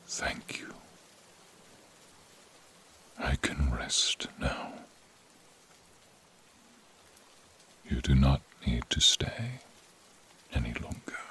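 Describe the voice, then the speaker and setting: A man voicing a noble, friendly silver dragon in a fantasy roleplay, deep and breathy, with solemn, old-fashioned courtly speech addressed to the listener. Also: sing-song, pushed and bright, in a whisper, exaggerated voice. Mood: fading, grateful. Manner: slow, archaic, solemn, addressing listener directly. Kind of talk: scripted fantasy ASMR roleplay. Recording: rain sound effects behind the voice.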